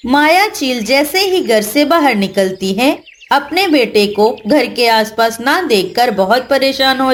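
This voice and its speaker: A woman's voice: high voice, a bit bright, slight background noise. A woman giving scripted narration for a children's story.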